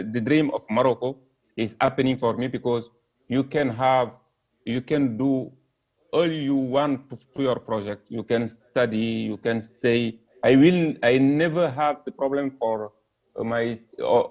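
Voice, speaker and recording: Senegalese man, hesitant panel talk, gravel in the voice. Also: medium pitch, a little mellow. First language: French. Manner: hesitant, many fillers and restarts; earnest, apologetic about his English. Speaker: panel speaker. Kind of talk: halting live-stream talk. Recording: dull lo-fi stream audio.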